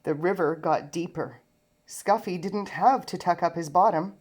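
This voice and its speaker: A woman narrating a children's storybook aloud in an expressive storytelling manner. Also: on the low side, unhurried. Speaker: storybook reader.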